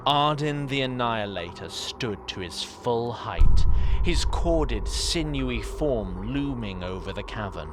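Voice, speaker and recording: A man's voice: medium pitch, animated, measured pace, background noise. A man narrating a scripted fantasy story in a dramatic, wry and literary manner. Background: music.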